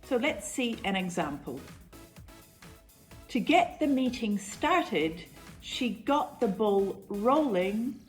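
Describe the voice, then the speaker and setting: Slow, measured New woman teacher. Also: a little high, very animated, faint music behind. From New Zealand. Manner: clear, measured explanations with repeated examples. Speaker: English teacher. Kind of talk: online English lesson.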